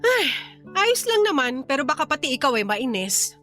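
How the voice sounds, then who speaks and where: Loyal, fed-up Filipino mother for radio drama: high-pitched, sharp, emphatic reproach. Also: big pitch swings, moderate pace. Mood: weary annoyance. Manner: scolding, firm, emphatic. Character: exasperated, loyal middle-aged wife and mother. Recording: music behind the voice.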